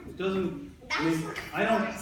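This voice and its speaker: A man's voice: high voice, unhurried. A man teaching second grade, leading a read-aloud discussion, prompting pupils and often repeating their answers and his questions. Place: classroom. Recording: echoey, noisy room, distant mic.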